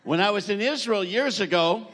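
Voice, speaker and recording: A middle-aged Canadian-born man preaching in short phrases, joking with the congregation and pausing for an interpreter. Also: high-pitched, fairly bright tone. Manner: jovial, joking, pausing for translation. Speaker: visiting preacher. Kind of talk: sermon with live interpreter. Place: church hall. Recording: church hall reverb.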